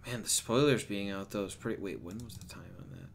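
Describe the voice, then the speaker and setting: A man hosting a livestream, chatting casually and swearing freely as he goes. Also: a little fast. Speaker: streamer hosting a voice-chat livestream. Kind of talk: casual, profane live chat. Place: livestream from a computer setup. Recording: bright home mic with noise, rumble and typing. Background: noise, rumble and keyboard typing.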